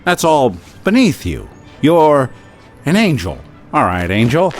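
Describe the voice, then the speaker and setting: An actor playing a stiff, awkward young ex-serviceman in a period radio drama, who talks haltingly and stumbles over his words. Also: mid-range voice, very animated, faint music behind. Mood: coaxing. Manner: halting, hesitant, stammers. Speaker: character in an audio drama. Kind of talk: 1940s radio acting.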